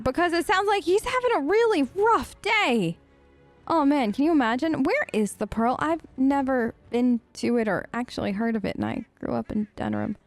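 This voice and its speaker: Higher-voiced woman, close and dry. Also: no room sound. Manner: conversational, musing aloud with rhetorical questions. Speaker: let's player who role-plays her character. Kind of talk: reading aloud and chatty gameplay commentary.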